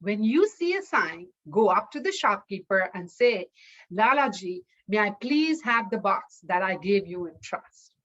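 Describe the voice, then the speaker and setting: A woman's voice: medium pitch, big pitch swings, unhurried, a bit bright, lo-fi sound. Woman telling as a kind, reassuring young man. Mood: confident, instructing. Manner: reassuring, instructing. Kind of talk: oral folktale telling.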